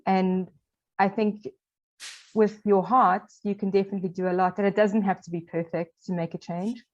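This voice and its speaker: A woman's voice: medium pitch, level tone, normal speed, a little mellow. Woman guest, program coordinator, encouraging tone, muffled lo-fi sound. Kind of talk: interview answers. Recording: dull lo-fi audio.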